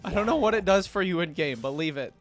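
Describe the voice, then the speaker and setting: A man's voice: high voice, animated, brisk. A man hosting a reaction video, chatting casually and thinking aloud as he comments.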